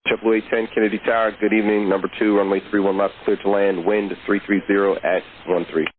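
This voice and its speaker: A man's voice: on the low side, flat delivery, fast, narrow, tinny audio. A man working as an air traffic controller, giving clipped instructions in aviation phraseology over the radio. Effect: radio transmission.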